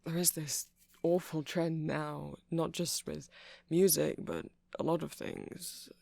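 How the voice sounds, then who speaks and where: A man playing a shy, nerdy vampire shop clerk in an ASMR roleplay, rambling gently and hesitantly to the listener. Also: fairly high pitch, little pitch movement, slow pace, vocal fry, no echo, exaggerated voice. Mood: earnest, passionate. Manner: hesitant, awkward, apologetic, stammering, gentle. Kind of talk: ASMR roleplay, soft casual monologue to listener.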